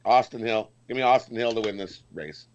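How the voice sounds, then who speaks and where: A man's voice: fairly low pitch, brisk. Blunt man on muffled, faintly noisy audio. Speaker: sports betting panelist. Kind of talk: casual, blunt chat. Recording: dull audio, faint noise, clicks.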